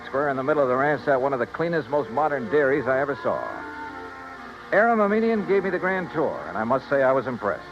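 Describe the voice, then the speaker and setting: A man's voice: mid-range voice, animated, quick pace, lightly acted. An American man playing a wry, breezy insurance investigator in a 1950s radio drama. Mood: brisk, matter-of-fact. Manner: breezy, wisecracking. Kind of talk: 1950s radio detective acting and narration. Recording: muffled vintage 1950s radio recording, music.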